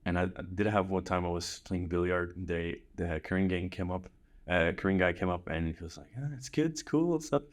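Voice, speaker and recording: A man's voice: deep voice, level tone, a little fast. A man from Switzerland chatting casually as a podcast host. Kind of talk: casual chat.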